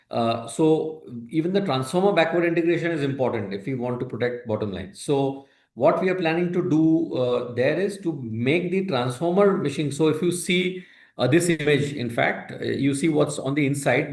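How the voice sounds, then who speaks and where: A man with an Indian accent answers investor questions as a company executive, explaining in a halting, hesitant way with broken phrases. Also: medium pitch. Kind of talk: earnings call answers, explanatory. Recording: roomy echo on a conference call.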